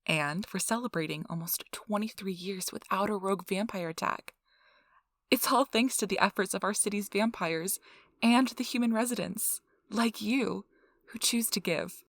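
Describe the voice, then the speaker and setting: A woman's voice: medium pitch, moderate pace, no echo, studio quality, lightly acted. A woman playing a cheerful, earnest donor recruiter in an ASMR role-play, with a polite, reassuring customer-service manner. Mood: upbeat, reassuring. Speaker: donor acquisition interviewer.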